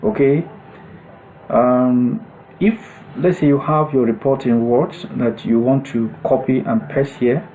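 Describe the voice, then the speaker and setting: A man narrating a step-by-step software tutorial as an instructor, explaining things in short, separated phrases. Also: medium pitch, slow pace, a little hiss or hum, rough recording.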